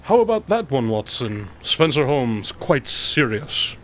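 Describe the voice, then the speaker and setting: An eccentric detective in a comic radio drama. He is cocky and playfully deadpan, with mid-range pitch that moves around a lot. Faint outdoor ambience with birds and insects sits behind him. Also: a little slow, slight background noise. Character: eccentric, self-assured, playful male detective. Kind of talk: comedic radio drama acting.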